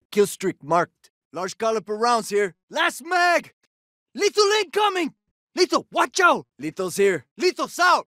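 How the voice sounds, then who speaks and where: A man's voice: very high voice, sharp tone, dry recording, studio quality, full character voice. A man playing a cocky, wisecracking soldier in a video game, delivering short, punchy combat callouts. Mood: urgent shouting. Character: cocky, jokey male soldier, sporty ex-jock type. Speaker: video game operator character.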